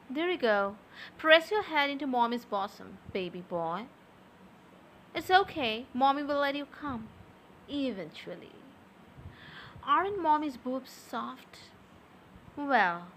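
A young adult woman speaking softly and close to the mic in an intimate audio roleplay, with a teasing, coaxing manner. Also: sits high, a little hiss or hum. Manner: soft, slow, teasing and coaxing.

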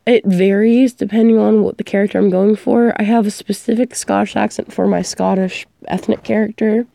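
A woman's voice: mid-range voice, fairly even intonation, moderate pace, gravelly, dark tone. A young woman who is sick and coughing, rambling casually about her work as an audio performer and often losing her train of thought.

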